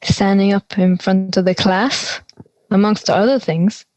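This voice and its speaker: A woman's voice: mid-range voice, fairly even intonation, unhurried, darkish tone, no echo, close to an everyday voice, low-quality recording. A young woman playing an anxious, exasperated teenage schoolgirl in conversational audio drama dialogue. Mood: anxious, sheepish. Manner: exasperated, worried. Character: anxious schoolgirl dreading a class presentation.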